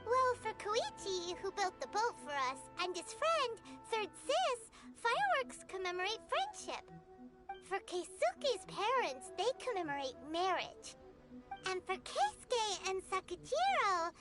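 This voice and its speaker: A woman voicing a tiny, chirpy, childlike fairy companion in a video game, curious and excitable, with a squeaky voice. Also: measured pace, exaggerated voice. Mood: thoughtful. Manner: refers to itself in third person, exclamatory. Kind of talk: video game character acting. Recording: loud music behind the voice.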